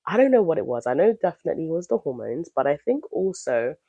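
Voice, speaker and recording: A woman's voice: sits low, big pitch swings, fast talker, warm tone, slightly rough, dry recording, dull audio. Congested woman chatting on her podcast. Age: 30s. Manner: chatty, candid, upbeat, thinks aloud. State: nasal from a cold. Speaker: podcast host. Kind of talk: casual solo podcast chat.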